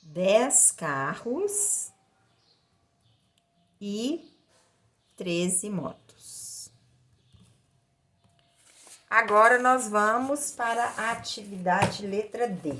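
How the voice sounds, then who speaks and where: A woman's voice: mid-range voice, animated, very slow, pauses often, fairly bright tone. A woman with a Brazilian accent explaining a lesson step by step as a maths teacher, in a didactic way with repeated phrases. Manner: didactic, repeats phrases and checks in with short tag questions.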